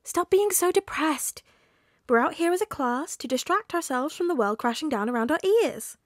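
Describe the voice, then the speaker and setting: A woman's voice: high-pitched, lively intonation, no room sound, studio quality, voice pushed up. A woman voicing a bubbly, whiny teenage girl in an audiobook story, squealing and stretching out words. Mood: whining. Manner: whining, squealing, drawn-out words.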